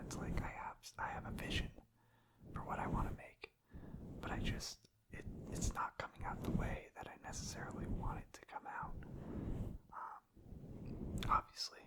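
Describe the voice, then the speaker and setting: A young man whispering a gentle, meandering ASMR ramble close to the microphone, hesitant and unscripted. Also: low-quality recording. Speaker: ASMR host.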